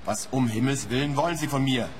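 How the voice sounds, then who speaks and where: A man's voice: mid-range voice, fast, close to an everyday voice. An actor in a radio play playing a defensive, irritated man who feels hounded, guarded and insistent. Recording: long reverberant space, faint noise.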